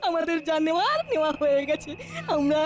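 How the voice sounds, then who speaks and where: A woman's voice: high-pitched, lively intonation, fairly quick, crowd noise. A woman voicing a cheeky, brash young bully kid in a cartoon, taunting in manner. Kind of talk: cartoon voice acting. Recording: loud music bed behind. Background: loud cartoon music.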